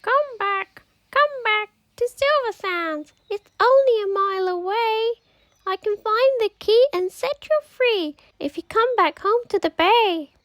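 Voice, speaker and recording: A woman's voice: very high voice, lively intonation, measured pace, a bit bright, no room sound, voice pushed up, full character voice. A woman voicing an urgent, pleading seagull in a children's storybook, calling insistently.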